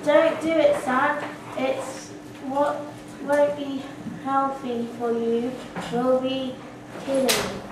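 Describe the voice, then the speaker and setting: A woman's voice: high voice, little pitch movement, slow. A schoolgirl playing a cautioning, haughty medieval lord in an amateur puppet play. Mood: warning. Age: schoolgirl, about 12. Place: school classroom. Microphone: distant camera. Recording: echoey noisy classroom from far off.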